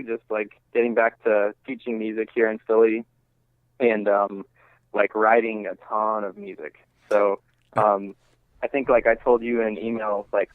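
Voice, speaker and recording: A man giving a casual radio interview as a musician, talking informally and haltingly, working out each thought as he goes. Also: lowish voice, dry recording. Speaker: interviewed singer-songwriter and music teacher. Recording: thin phone-line sound.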